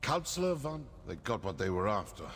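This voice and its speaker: A man playing a proud, cynical assassin in a video game, speaking in a dry, deliberate, menacing way. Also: fairly low pitch, big pitch swings, a bit bright. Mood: grim, resolute. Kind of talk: scripted video game character dialogue. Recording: long reverb, clear background noise. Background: game ambience.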